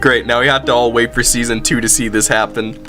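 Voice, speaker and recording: An interviewer hosting a casual chat, joking around and exclaiming in an excitable way. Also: medium pitch, fast, soft background music. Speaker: interviewer, YouTube host.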